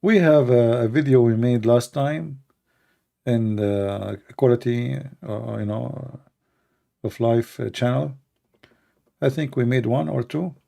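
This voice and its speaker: A middle-aged man with a gravelly, dark-toned voice and an Arabic accent. He speaks casually, pausing often with fillers and restarting his sentences. His opinions come out blunt and unhedged. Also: mid-range voice. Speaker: livestream debate host. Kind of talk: casual unscripted talk answering questions.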